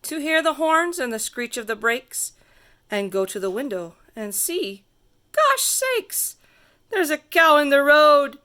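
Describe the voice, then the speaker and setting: A woman reading a rhyming picture book aloud to children in a theatrical, sing-song way with drawn-out emphasis. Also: high voice, sing-song, sharp tone. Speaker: storybook reader.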